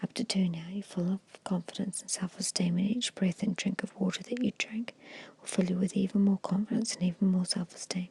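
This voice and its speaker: A woman guides an ASMR meditation in a very breathy whisper. Her tone is soft and mellow, with little rise or fall in pitch. She delivers gentle, repeated hypnotic suggestions in a hushed way. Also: steady tone, fairly quick, hardly any pauses, dry recording. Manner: hushed, repetitive, gently instructing.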